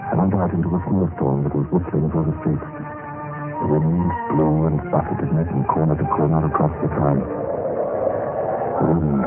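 Bass-voiced deceased clerk narrator for vintage radio play, grave, measured, mournful, over prominent music. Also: male voice. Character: meek, dead Russian clerk mournfully telling his own story. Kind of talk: 1950s radio drama narration. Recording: muffled old radio recording with music.

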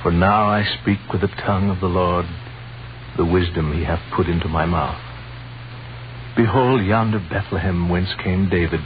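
A man's voice: low-pitched, natural voice. An actor playing a devout Greek wise man in a 1930s radio drama, declaiming solemnly in archaic biblical speech. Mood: prophetic. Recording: muffled, noisy 1930s radio recording.

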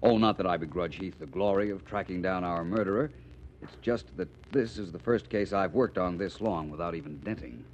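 An actor playing a suave, composed detective who asks polite, probing questions in a 1940s radio drama. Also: male voice, low-pitched, brisk. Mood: composed, pensive. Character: suave, composed male amateur detective, thoughtful and urbane. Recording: reverberant, muffled, faintly hissy 1940s radio recording.

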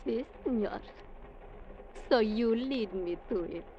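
A woman's voice: on the high side, takes it slowly. An actress playing a proud, armed young Latin American woman with a Spanish accent, defiant and commanding, in a 1940s radio drama. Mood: determined, threatening. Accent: Spanish-accented English, Latin American. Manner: defiant, commanding, clipped speech. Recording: muffled, noisy vintage radio recording.

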